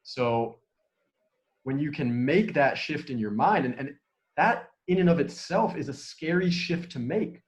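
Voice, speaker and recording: A man's voice: a little high, measured pace. A man hosting a podcast in a casual conversation, talking in an easy way and dropping "okay" into his sentences. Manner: conversational, peppers speech with "okay". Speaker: podcast host.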